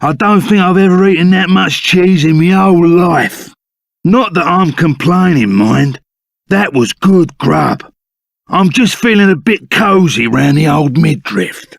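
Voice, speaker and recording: An actor playing a rough, loyal, excitable henchman in a video game, with a gravelly voice, a Cockney accent and blunt, chummy, slangy talk. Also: sits high, measured pace, no echo, clean studio sound, full character voice. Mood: blunt, curious. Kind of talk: video game character voice acting.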